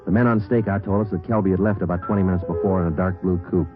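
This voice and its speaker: A man's voice: low voice, fairly even intonation, racing, natural voice. An actor playing a terse, unemotional police detective, narrating his case in a clipped, matter-of-fact way in an old radio drama. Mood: flat, businesslike. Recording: muffled old radio recording with music.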